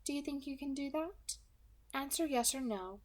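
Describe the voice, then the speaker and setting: A woman voicing a polite, menacing female kidnapper with a soft, pleasant alto voice, light and friendly in tone. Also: high voice, moderate pace, pitched above the performer's own voice, close to an everyday voice. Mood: coolly menacing. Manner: light, friendly, quietly threatening.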